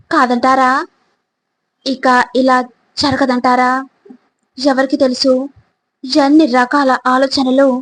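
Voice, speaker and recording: A woman reading scripted motivational narration, deliberately, phrase by phrase, in the manner of someone posing questions to the listener. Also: sits high, low-quality recording.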